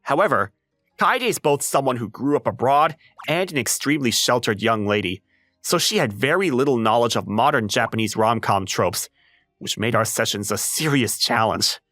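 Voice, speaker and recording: An exasperated high school boy acted by a man with a highly animated, swinging delivery. He is a flustered, introverted young writer from an anime comic dub who lectures dramatically, with music playing underneath. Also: moderate pace, no echo, studio quality. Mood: wry narrating. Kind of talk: anime-style comic dub acting with narration.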